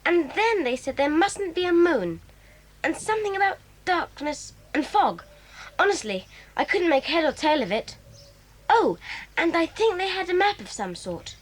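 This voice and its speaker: Woman playing a lively, eager schoolboy. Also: very high-pitched, moderate pace. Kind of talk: 1980s children's audio drama.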